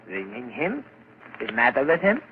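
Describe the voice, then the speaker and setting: An actor playing a calm, deliberate doctor of mental patients in 1940s radio drama style. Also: male voice, medium pitch. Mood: calm, cautious. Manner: deliberate, probing, grave. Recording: dull old radio broadcast, faint hiss.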